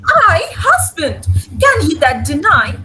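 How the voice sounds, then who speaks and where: A woman's voice: very high-pitched, wildly swooping pitch, slow pace, bright, forceful tone, a little hiss or hum, full character voice. A woman playing a passionate, imploring noble lady in a dramatic Shakespeare reading. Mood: pleading, distressed.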